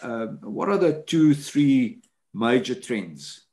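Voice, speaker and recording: A man moderating a panel discussion over a video call, halting and repeating words as he frames a question. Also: medium pitch, sing-song, a little mellow. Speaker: moderator of a book launch panel.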